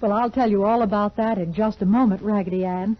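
A woman's voice: medium pitch, steady tone, fast talker, close to an everyday voice. A woman playing a kindly good fairy who tells stories on a 1940s children's radio show. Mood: promising. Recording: dull old 1940s radio recording.

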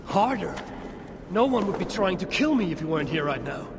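A woman's voice: lowish voice, sing-song, a little fast, background noise. An actress playing a brash, stubborn Viking warrior woman with a blunt, defiant manner in a video game. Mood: angry. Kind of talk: video game cutscene acting. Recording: loud music behind the dialogue.

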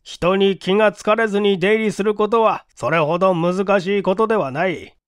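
A man's voice: high voice, normal speed, clear, resonant tone, no echo, studio quality, pitched above the performer's own voice, natural voice. A man voicing an authoritative, probing police chief in a mystery audiobook, with commanding, old-fashioned official speech. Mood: probing, stern. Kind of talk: dramatic audiobook character acting.

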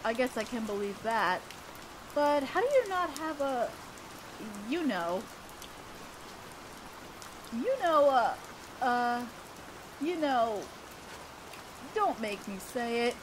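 A very high, slow-spoken voice of an awkward teenage girl, acted by a woman, in a romantic audio roleplay. She is earnest and easily flustered, halting shyly as she goes. A clear hiss like rain sits behind her. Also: exaggerated voice.

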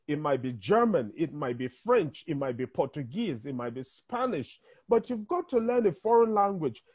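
A man's voice: high voice, husky. A Nigerian man in his fifties with a Nigerian accent, speaking emphatically as a panelist in an online town hall, driving points home by repetition over a video call. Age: 50s. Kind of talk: impassioned speech in an online town hall discussion. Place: indoor room, on a video call. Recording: dull, lo-fi video call audio.

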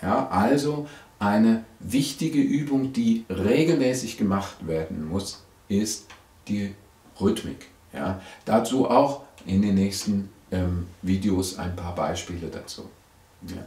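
A middle-aged man, hoarse from a cold, teaching a bass lesson to camera in a chatty way, with "ja?" and "ähm" fillers. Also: takes it slowly, mellow. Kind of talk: video lesson, direct to camera. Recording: roomy echo.

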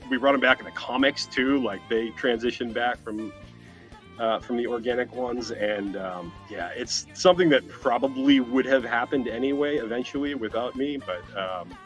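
Male interview guest, casual storytelling, dull close recording, quiet music. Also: mid-range voice, normal speed. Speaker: interview guest, animation professional. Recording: band-limited, dull audio. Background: faint music.

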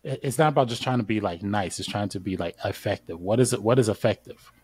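A man's voice: fairly low pitch, quick pace, husky. A man in his thirties, a podcast guest on a video call, explaining his views at length in a casual, thoughtful way. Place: home studio, indoors. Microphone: large studio mic on video call.

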